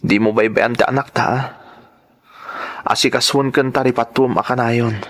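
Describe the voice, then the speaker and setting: A man's voice: mid-range voice, fairly even intonation, normal speed, a bit bright, close to an everyday voice. A man with an Ilocano accent playing a protective, dutiful husband in a radio drama, grave and insistent in delivery. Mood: resolute. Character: Rodel, protective, dutiful husband and tricycle driver.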